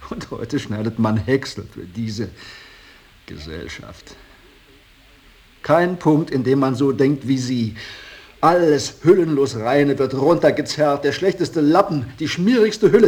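An actor playing an embittered, idealistic painter in a radio drama, speaking solemnly and rhapsodically, moving between hushed reverence and outbursts. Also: male voice, mid-range voice, slight background noise. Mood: bitter, defiant. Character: Lachmann, embittered, idealistic male painter revering his old master. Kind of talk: 1980s German radio drama acting.

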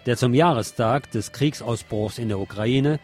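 A man's voice: medium pitch, moderate pace, a little mellow. A man presenting radio news, reading from a script in German news style.

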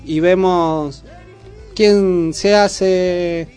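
High-pitched male voice, Buenos Aires accent, casual radio announcing, light music behind. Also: fairly even intonation, drawn-out words, a little mellow, smooth. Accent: Argentine, Buenos Aires. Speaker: radio co-host.

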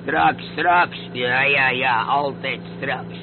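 A man's voice: fairly even intonation, very slow. An actor playing an impatient, fretful, suspicious male crook in a radio drama, nagging sourly and repeating his words. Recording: tinny noisy radio recording, motor rumble.

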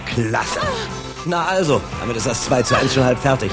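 An actor playing a bossy, impatient television director who barks brisk orders in a radio drama. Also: male voice, medium pitch, loud music behind the voice, lightly acted. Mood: satisfied, businesslike. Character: bossy, impatient male TV director staging football scenes.

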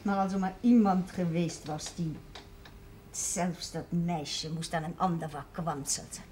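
A woman's voice: lowish voice, steady tone, a little slow, darkish tone, close to an everyday voice. An actress playing a bitter, grieving mother who speaks in formal, accusing questions in a television period drama. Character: bitter grieving mother, reproaching a village priest. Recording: faint background hiss, 1970s television soundtrack.

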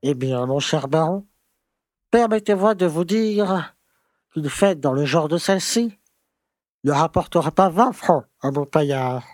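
An actor playing a pompous, grumbling conservative small-town mayor who lectures and scolds in a French audio drama. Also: male voice, a little high, animated, unhurried, dry recording, clean studio sound. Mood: sceptical, pompous.

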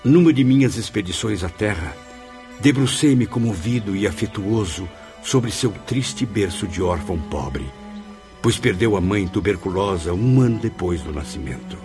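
A man's voice: lowish voice, animated, moderate pace, close to an everyday voice. An actor playing a humble, remorseful male spirit in a Brazilian radio drama, speaking formally and earnestly with a plaintive Brazilian delivery. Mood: sorrowful tenderness. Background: music.

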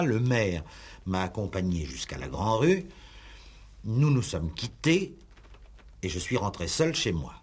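Husky, wildly emphatic examining magistrate. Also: male voice, deep voice, exaggerated voice. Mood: solemn, narrating. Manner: theatrical, pressing, sarcastic, formal. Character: insistent, exasperated male examining magistrate interrogating suspects. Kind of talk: 1960s French radio police drama acting.

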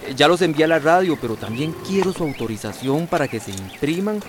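An actor playing an earnest, supportive health worker, businesslike and respectful, in a radio soap opera. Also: male voice, fairly high pitch, sing-song, a little fast, background noise.

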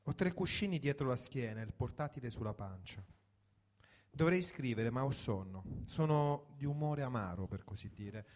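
A man's voice: medium pitch, animated, normal speed, darkish tone. Man giving a wry literary reading. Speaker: reader of a first-person story. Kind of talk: dramatic literary reading for radio.

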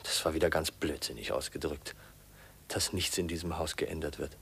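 An actor playing a shy, awkward young heir in a 1950s radio drama, speaking hesitantly and politely. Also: male voice, a bass voice, vocal fry, fairly bright tone, slight background noise, exaggerated voice. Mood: awed, self-reproachful. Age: young adult. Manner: hesitant, halting, polite, murmuring inner monologue.

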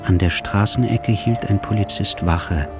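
A man's voice: lowish voice, unhurried, music in the background. A man narrating a literary radio drama in scripted prose.

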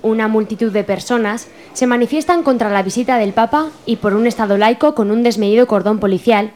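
A woman's voice: a little high, brisk, a little mellow. A woman from narrating a scripted radio drama. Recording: narration over crowd noise.

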